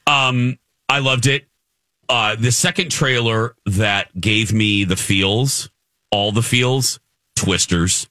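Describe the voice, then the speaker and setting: A man hosting a casual entertainment radio show with campy, expressive emphasis. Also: lowish voice, slow pace, dry recording. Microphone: close studio microphone.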